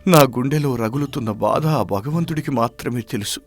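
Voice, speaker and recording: A man's voice: medium pitch, moderate pace, close to an everyday voice. A man playing a sick, guilt-ridden village farmhand who hides his suffering in a dramatized moral story, anguished and heavy-hearted. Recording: music behind the voice.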